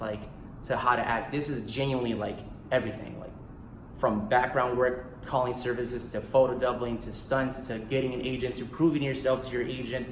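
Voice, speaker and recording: Picture a man in his thirties who grew up speaking Spanish, chatting straight to camera in a loose, heartfelt way. His voice sits at an ordinary middle pitch. The room around him rings with a noticeable echo, and a faint hiss lies underneath. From United States. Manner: eager and heartfelt, full of "like" and "you know". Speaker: actor and author. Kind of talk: casual self-promo vlog. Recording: roomy echo in a small room.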